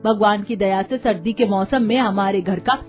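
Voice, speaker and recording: A woman voicing a wise, patient poor mother-in-law who gently moralizes in a cartoon story. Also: medium pitch, normal speed, faint music behind, close to an everyday voice. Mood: gently admonishing.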